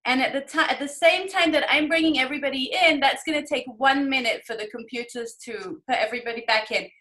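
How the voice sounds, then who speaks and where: Lady teacher running an online kids class, high bright voice, keeps prompting everyone. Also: fast. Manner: instructing, prompting listeners to answer. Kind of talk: leading children in an online lesson.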